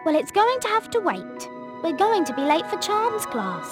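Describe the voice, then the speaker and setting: Young British witch schoolgirl: high, swooping, prim know-it-all for a fantasy game, faint music underneath. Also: very animated, normal speed. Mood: exasperated. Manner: prim, scolding, emphatic. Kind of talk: video game cutscene acting.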